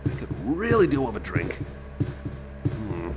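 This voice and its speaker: A man's voice: mid-range voice, huge pitch swings, measured pace, loud music behind the voice. A man playing a friendly, anxious cyborg companion in an ASMR roleplay, rambling hesitantly and repeating himself as he chats to the listener. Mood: chatty, musing.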